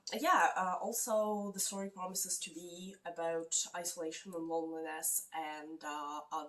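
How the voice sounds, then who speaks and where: A woman with a non-native English accent chatting casually about books she plans to read, pausing often with fillers. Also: mid-range voice, distant mic, rough recording. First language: not English. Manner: hesitant, many fillers. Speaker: book vlogger. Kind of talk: casual chat. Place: lives in Canada.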